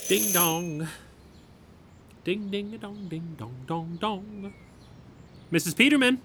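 An excitable, singsong delivery guy. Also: sits high, slow pace, noisy background, heavily acted. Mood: cheery, anxious. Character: cheery, flustered, eager-to-please meal delivery man. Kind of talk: audio comedy drama acting.

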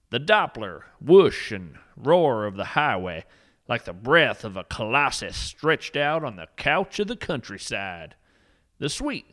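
Male narrator, unhurried, hugely expressive pitch, earnest mock-solemn tone for whimsical scripted fiction. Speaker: podcast host and narrator.

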